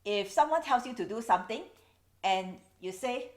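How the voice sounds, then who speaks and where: A woman in her forties from Singapore with a Singaporean English accent, explaining things in a measured, wry vlog. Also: fairly high pitch, animated. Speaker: video host. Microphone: camera's built-in mic.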